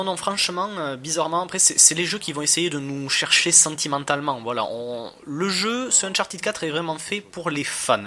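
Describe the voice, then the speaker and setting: A man's voice: fairly high pitch, sharp tone. A man giving casual gameplay commentary as a reviewer, talking in a slangy, hesitant, rambling way. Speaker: video game reviewer.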